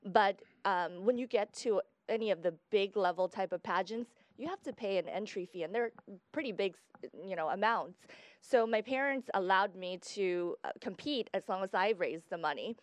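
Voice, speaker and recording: Young woman guest from Guatemala, mid-pitched, chatty, dry close sound. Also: lively intonation, moderate pace, no room sound. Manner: chatty, explains at length with fillers. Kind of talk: casual interview chat.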